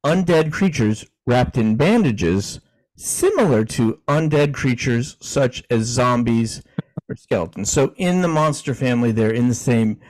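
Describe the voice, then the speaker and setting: A man co-hosting a podcast in a relaxed, chatty way, reading definitions aloud and chuckling now and then. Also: mid-range voice, unhurried, low-quality recording. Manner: easygoing, chuckling, frequent restarts. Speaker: podcast co-host.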